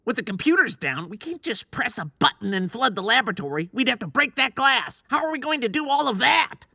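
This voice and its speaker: A woman's voice: a little high, sing-song, brisk, creaky, pushed and bright, no echo, clean studio sound, full character voice. A woman playing a grouchy, sarcastic male scientist in an audiobook drama, exasperated and snarky. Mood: incredulous.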